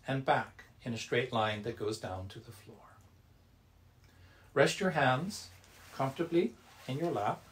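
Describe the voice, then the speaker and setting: A man leading a Buddhist temple service, reciting and guiding meditation in a measured, solemn, deliberate way. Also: medium pitch. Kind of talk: reverent recitation and guided meditation.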